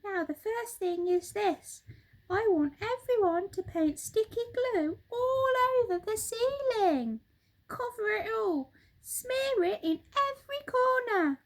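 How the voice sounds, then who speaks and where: A woman voicing a frenzied, bossy father monkey from a children's storybook, shouting urgent orders excitedly. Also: very high-pitched, slow, voice pushed up, heavily acted, poor audio.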